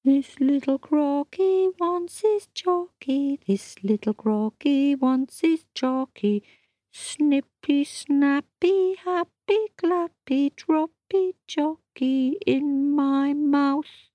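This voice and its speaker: A cheeky little crocodile from a storybook reading, played by a woman, squeaking his demands for chocolate in a very high, sing-song voice. The sound is close and dry. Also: very animated, a little slow, creaky, no room sound, heavily acted.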